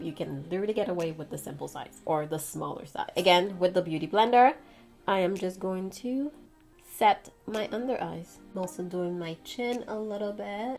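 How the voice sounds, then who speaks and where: A woman's voice: fairly low pitch, darkish tone. A woman giving a casual makeup tutorial in a chatty, playful, slangy way. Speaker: beauty vlogger.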